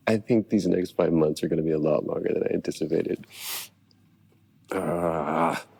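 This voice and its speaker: A man acting a weary, worried space-station medical officer in a video game, speaking a personal log haltingly in a gravelly voice. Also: deep voice, a little mellow, exaggerated voice. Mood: homesick, wistful. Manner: halting, hesitant delivery.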